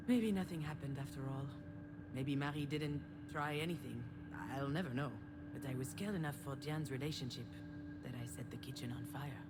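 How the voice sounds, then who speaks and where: A woman's voice: very deep voice, very noisy. A woman playing a wry, self-deprecating, guilt-ridden sister who confides in a conversational way in a narrative game. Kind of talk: introspective first-person narration and dialogue in a narrative game.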